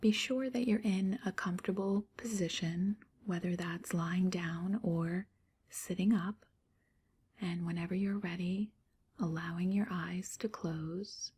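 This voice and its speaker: Breathy, slow-speaking woman meditation guide. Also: lowish voice. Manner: soft, breathy, near-whispered delivery; slow, calm, gentle pauses.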